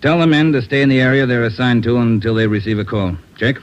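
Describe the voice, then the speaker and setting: A man's voice: mid-range voice, quick pace, close to an everyday voice. A man playing a curt, authoritative police chief giving orders in a 1940s radio drama. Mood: commanding. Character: brisk, commanding male police chief. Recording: muffled vintage radio recording.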